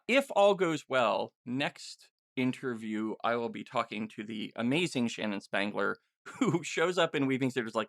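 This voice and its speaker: Creaky-voiced man hosting a casual interview. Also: medium pitch, a bit bright, dry recording, studio quality. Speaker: podcast host and interviewer.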